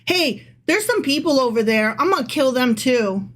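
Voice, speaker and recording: A woman's voice: sits high, lively intonation, normal speed, fairly bright tone. A woman co-hosting a casual podcast, reading aloud and commenting in an irreverent, sarcastic, sweary way. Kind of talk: casual chat with dramatic read-aloud and commentary.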